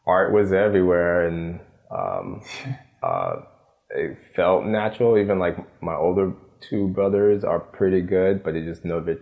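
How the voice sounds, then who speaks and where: A man's voice: sits low, expressive, a little slow, vocal fry, phone-quality sound. A man, a native French and Creole speaker from Miami, telling stories casually as an interview guest and acting out what people said. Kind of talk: casual conversational storytelling.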